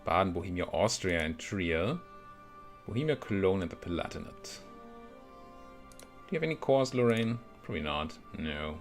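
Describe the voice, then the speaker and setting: Low-voiced man muttering through game commentary. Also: very animated, normal speed, long pauses, faint music behind. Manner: thinks aloud, muttering to himself. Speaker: video game streamer playing a strategy game. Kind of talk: casual let's play commentary.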